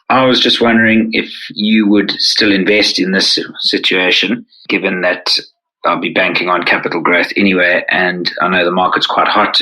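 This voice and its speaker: South African man, deep but bright voice, polite measured voicemail caller. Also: low-quality recording. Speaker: listener caller. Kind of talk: recorded voicemail question.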